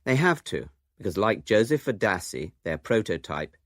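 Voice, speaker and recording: A man narrates a radio documentary from a script. His voice is low and sits close and dry, and his intonation moves around freely. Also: sing-song, moderate pace, fairly bright tone, no echo.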